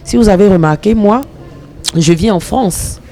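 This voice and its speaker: A woman actress and comedian from the Republic of the Congo answering interview questions in an earnest, measured way. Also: mid-range voice, warm tone, a little hiss or hum. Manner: earnest, measured, speaks about ambition with conviction.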